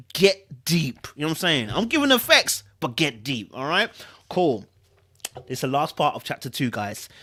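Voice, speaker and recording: A woman reading a story aloud in a theatrical, suspenseful and informal storytelling style. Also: fairly low pitch. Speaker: host reading a novel aloud to followers. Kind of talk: dramatic storytelling read-aloud with chatty asides and vocal sound effects.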